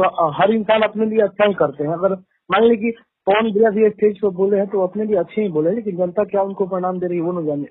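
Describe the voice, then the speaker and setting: A man's voice: sits high, breathy edge, no echo. A man with a Bhojpuri accent speaking as an interviewed singer, answering informally and defensively over the phone, often repeating himself. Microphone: phone call. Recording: tinny, muffled phone line.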